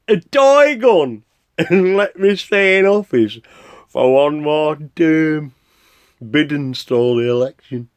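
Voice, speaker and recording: A put-upon Santa, in a radio comedy. The voice is high and swings widely, with slow, theatrical delivery as he grumbles through his post. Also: exaggerated voice. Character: exasperated Santa Claus wading through his fan mail. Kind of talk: radio play acting.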